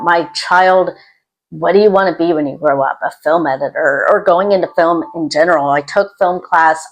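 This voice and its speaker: A woman with a lowish voice chats informally to camera as the host of her own video channel. She comes across as introverted and self-deprecating, rambling from one thought to the next. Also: rough recording. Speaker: YouTube host. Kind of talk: casual chat to camera. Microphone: external microphone.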